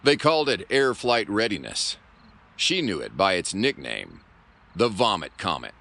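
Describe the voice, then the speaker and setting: A man playing a melodramatic hardboiled serial narrator, grave and mock-heroic, with a gravelly voice. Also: on the low side, big pitch swings, unhurried, sharp tone, full character voice. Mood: wry. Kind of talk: pulp radio-serial narration. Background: guitar music.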